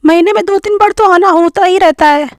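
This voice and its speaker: A woman's voice: extremely high pitch, a little fast, very noisy. A woman voicing a polite, sociable female relative in a cartoon family story, with a courteous, formal manner. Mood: gracious.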